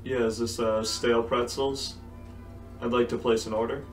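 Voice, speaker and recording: A young man delivers sketch-comedy lines in a dry, deadpan way. His pitch is middling, and music plays clearly behind him. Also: normal speed.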